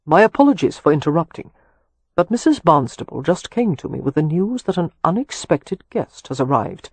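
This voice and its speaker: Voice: medium pitch, big pitch swings, moderate pace, slightly rough, no room sound. A man voicing a casual, wry younger brother who is polite yet defiant, in audiobook dialogue. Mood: apologetic, tense. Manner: soft-spoken, casual, wry.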